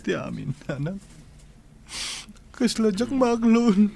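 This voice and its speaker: An anguished, high-voiced Ilocano son. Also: male voice, lively intonation, slow, creaky, dark tone, full character voice. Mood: grieving. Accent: Ilocano, northern Philippines. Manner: melodramatic, pleading. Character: anguished grown son, grieving young husband. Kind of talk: radio drama acting. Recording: music under the voice.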